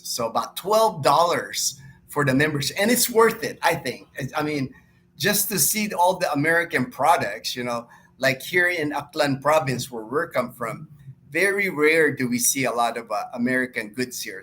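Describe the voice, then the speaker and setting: A man's voice: mid-range voice, fairly bright tone. Middle-aged man chatting over background noise. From Philippines, born and raised in Manila until twelve. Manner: informal, repetitive, often thanking viewers. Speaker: live stream host. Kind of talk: casual live chat, answering viewers.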